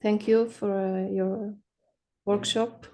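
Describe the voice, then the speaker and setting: A woman's voice: mid-range voice, level tone, slow, warm tone. A woman from Morocco, introducing herself hesitantly with many fillers on a video call.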